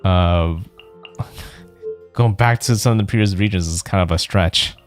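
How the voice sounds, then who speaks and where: A man's voice: low voice, a little slow, faint music behind. A man livestreaming a video game, chatting casually and thinking aloud in a rambling way. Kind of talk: casual chat while playing a game.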